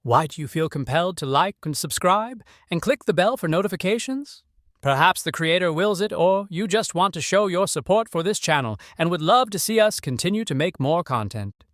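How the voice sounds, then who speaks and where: A man's voice: high voice, lively intonation, normal speed, a bit bright, no echo, clean studio sound. A man hosting a promotional channel outro in a playful, informal way. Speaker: host.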